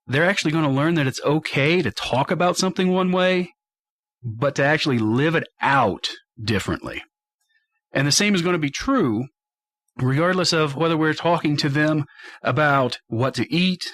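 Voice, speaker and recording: A middle-aged American man hosting a talk radio show, speaking straight to listeners with deliberate, emphatic phrasing. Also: fairly high pitch, fairly bright tone. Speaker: radio show host and financial advisor.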